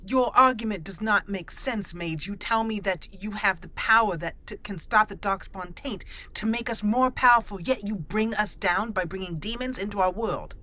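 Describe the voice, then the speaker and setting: A woman's voice: medium pitch, normal speed, hardly any pauses, pushed and bright. A woman narrating a fantasy game roleplay in a thoughtful, earnest way, speaking in character between her explanations.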